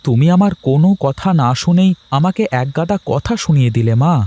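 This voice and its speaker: A man's voice: a little high, expressive, fairly quick, warm tone, natural voice. A man voicing a bossy, grumbling but doting village husband in a cartoon. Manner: bossy, emphatic. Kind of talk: cartoon voice acting.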